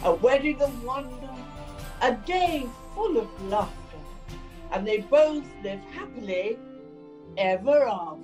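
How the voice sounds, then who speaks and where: An actress playing a cheeky pantomime good fairy, wry and theatrical. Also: female voice, a little high, lively intonation, unhurried, darkish tone, faint music behind. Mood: storybook cheer. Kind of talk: comic pantomime acting and rhyming narration.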